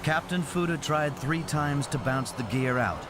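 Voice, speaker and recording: A man's voice: fairly high pitch, expressive, measured pace, very noisy. A man reading scripted, dramatic documentary narration as the narrator. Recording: loud music under voice. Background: loud background music.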